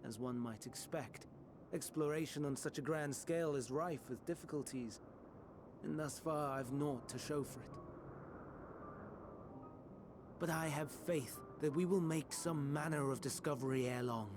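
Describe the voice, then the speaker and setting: A man's voice: a little high, noisy background, close to an everyday voice. Actor as a light-voiced, melancholy male scholar. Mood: calm, courteous. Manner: measured, formal, archaic phrasing. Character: soft-spoken melancholy male scholar, idealistic, quietly tormented. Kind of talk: dramatic video game voice acting.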